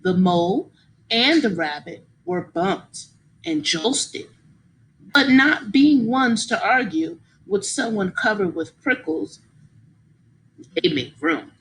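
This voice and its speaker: A woman reading a children's story aloud in an expressive, encouraging teacher's manner, pausing to explain and ask her young listeners questions. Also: fairly high pitch, a little hiss or hum, low-quality recording.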